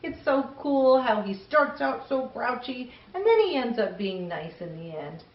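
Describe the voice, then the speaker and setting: A woman's voice: on the high side, very animated, unhurried, reverberant, muffled recording. A woman hosting a children's storytime, reading aloud expressively and chatting enthusiastically with young listeners. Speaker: children's storytime host and reader.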